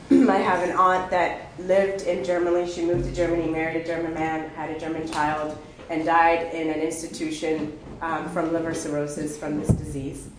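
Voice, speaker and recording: A woman from Ethiopia giving an unprepared personal testimony at a recovery meeting, halting and candid with many fillers and restarts. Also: low-pitched, level tone, slight background noise. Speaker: speaker sharing her story at a recovery meeting. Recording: echoey room with faint ambience.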